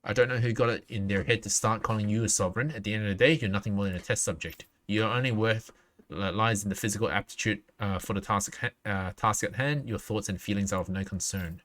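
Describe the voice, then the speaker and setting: A man livestreaming a video game, reading dialogue aloud haltingly and dropping in his own reactions. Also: lowish voice, steady tone, a bit bright. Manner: reads on-screen text aloud haltingly, interjects reactions. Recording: clearly noisy mic with clicks.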